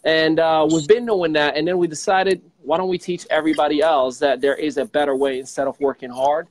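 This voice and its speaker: A man in his thirties, a guest telling his story quickly on a video call, with many fillers. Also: on the high side, fairly even intonation. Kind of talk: casual interview talk. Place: small room indoors. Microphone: video call webcam.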